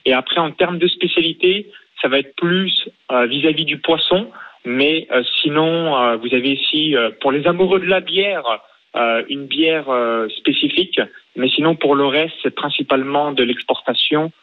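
A man's voice: on the high side, normal speed. A young man from Saône-et-Loire, calling in to a radio show and speaking hesitantly, with a lot of fillers. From France, Saône-et-Loire. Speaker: caller. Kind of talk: phone-in chat about living abroad. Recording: tinny phone line.

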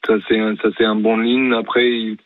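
A man's voice: lowish voice, flat delivery, moderate pace, dry recording. A man with a British accent, a horse trainer guest talking hesitantly over the phone with many fillers and restarts. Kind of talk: phone interview. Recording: tinny phone line.

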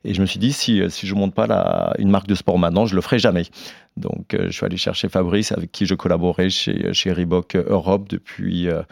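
A man in his fifties from the United States, with English as his first language, chatting relaxed as a guest in a radio studio. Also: sits low, fairly quick, gravelly, no room sound. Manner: relaxed, chatty, with frequent restarts. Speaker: guest, sportswear brand founder. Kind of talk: radio interview.

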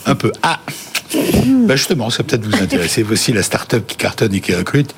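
A man's voice: lowish voice, wildly swooping pitch, brisk, background noise. A male host running a business interview with playful, joking questions.

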